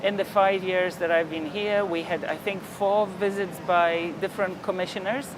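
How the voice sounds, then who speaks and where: An older man from Poland, a diplomat speaking in a television interview in a reflective, measured way with frequent hesitations. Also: sits high, steady tone, noisy background. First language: Polish. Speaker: ambassador.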